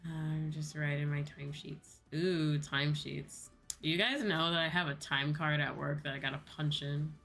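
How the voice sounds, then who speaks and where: A woman's voice: low voice, fairly even intonation, normal speed, a bit bright. A young woman chatting casually on a livestream, sounding sleepy, rambling and playfully repeating herself. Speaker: VTuber livestream host. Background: background music.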